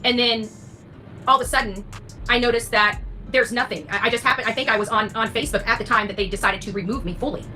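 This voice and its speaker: A woman's voice: on the high side, fast, bright, forceful tone. A woman delivering an impassioned, blunt rant to an online audience, indignant and emphatic, often swearing. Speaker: online true crime content creator. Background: background music.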